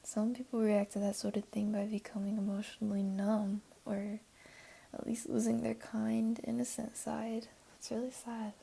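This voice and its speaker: Casting: woman to play teasing, doting jaguar girl for ASMR roleplay; soft, mellow, whispery, slightly high voice. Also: level tone, normal speed. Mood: gentle, admiring. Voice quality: soft, breathy, often whispery. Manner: teasing, cooing, soothing. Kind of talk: ASMR roleplay, intimate one-to-one.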